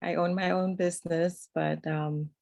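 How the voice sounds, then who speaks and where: A woman's voice: lowish voice, flat delivery, unhurried, vocal fry, a little mellow, no room sound. A woman raised in New York, a guest on a video call, speaking reflectively and searching for her words. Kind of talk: casual conversation over a video call.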